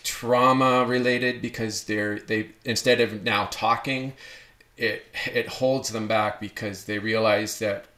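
A man's voice: medium pitch, pushed and bright. A man hosting a podcast conversation, rambling with many restarts and self-corrections. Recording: echoey room.

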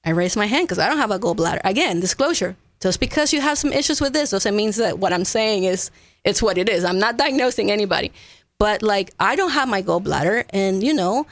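A woman's voice: medium pitch, lively intonation, brisk, dry recording. A woman from Ecuador, a native Spanish speaker, talking earnestly as an interview guest and explaining her work in a conversational way with frequent check-in tags. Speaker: guest, massage therapist.

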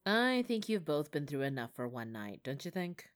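A woman voicing a gruff male police driver who speaks in a kindly, reassuring way. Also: low-pitched, big pitch swings, normal speed, dry recording, studio quality, pitched below the performer's own voice. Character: gruff but soft-hearted male cop driver.

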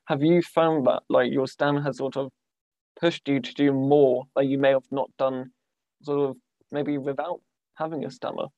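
A man's voice: mid-range voice, fairly even intonation, normal speed, dark tone, dry recording. A young man hosting a podcast, talking hesitantly with many "like"s and false starts. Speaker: podcast host. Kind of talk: conversational interviewing. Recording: dull lo-fi dry sound.

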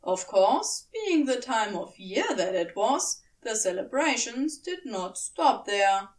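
A woman reading scripted fiction as an audiobook narrator, with a measured, storybook-like delivery. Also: a little high, sing-song, recorded from a distance. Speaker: fan fiction audiobook reader.

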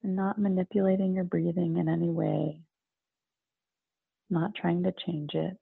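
A woman leading a guided meditation in a calm, soothing manner, with gentle pauses between phrases. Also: lowish voice, vocal fry, breathy edge, no room sound. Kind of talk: slow guided meditation narration. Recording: narrow phone-like, muffled sound.

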